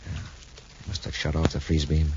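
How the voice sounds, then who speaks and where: Very deep-voiced space patrol commander. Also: male voice, monotone, moderate pace, darkish tone. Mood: calm, calculating. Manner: authoritative, clipped orders. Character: steady, resolute male space patrol commander in middle life. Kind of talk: 1950s radio adventure serial acting. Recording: muffled, faintly noisy 1950s radio recording.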